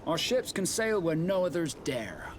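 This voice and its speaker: Proud seafaring captain, measured and formal. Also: male voice, a little high, big pitch swings, slight background noise. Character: proud seasoned male sea captain of a sailors' guild. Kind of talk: scripted video game dialogue.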